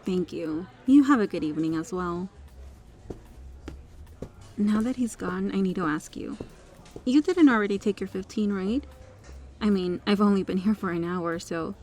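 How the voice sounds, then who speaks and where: A woman's voice: medium pitch, darkish tone. A woman playing a kind, caring restaurant regular in an ASMR roleplay, soothing and reassuring. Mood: polite, assertive, then sympathetic.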